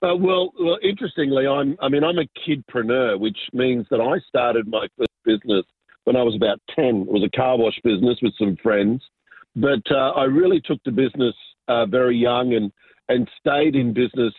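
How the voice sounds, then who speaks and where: Halting man, thin phone-like sound. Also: mid-range voice, dry recording. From Argentina, raised in Sydney. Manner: reflective, halting, many fillers and restarts. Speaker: guest, business pastor. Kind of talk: casual interview storytelling. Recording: dry, phone-like narrow sound.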